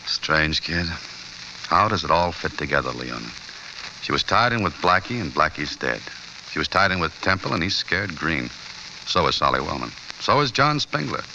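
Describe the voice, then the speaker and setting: Very low male voice, American, hard-boiled brooding newsman, swinging intonation, terse, wry, old radio drama. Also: moderate pace, heavily acted. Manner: terse, wry, pressing questioner. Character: hard-boiled, brooding newspaperman investigating a young woman's death. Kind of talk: 1940s-50s American radio drama acting. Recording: noisy old radio recording, steady hiss.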